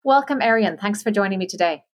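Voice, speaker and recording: A woman hosts a podcast with a mid-range voice. She reads a formal introduction and then asks her questions in a polite, measured way. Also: mid-range voice, lively intonation. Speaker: podcast host. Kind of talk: scripted intro and interview questions.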